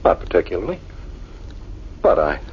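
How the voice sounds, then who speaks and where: An actor playing a brave, reassuring man trapped in the dark in a 1940s radio horror drama, talking in a measured, hesitant way. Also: sits low, huge pitch swings. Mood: uneasy. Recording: dull, faintly noisy old radio recording.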